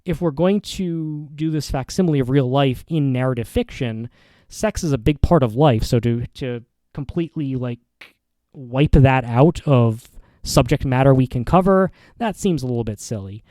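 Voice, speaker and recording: A man hosting a podcast, giving casual opinion commentary in a halting, rambling way with repeats and self-corrections. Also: mid-range voice, warm tone, no room sound. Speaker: podcast host.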